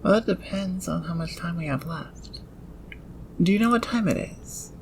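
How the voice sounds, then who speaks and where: A woman's voice: fairly low pitch, moderate pace, a little mellow, lightly acted. Woman acting eager gem-loving thief inventor, noisy recording. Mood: excited, absorbed. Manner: doting, murmuring to gadgets and gemstones. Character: eager, teasing female thief inventor who adores gems and machines. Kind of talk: ASMR audio roleplay acting.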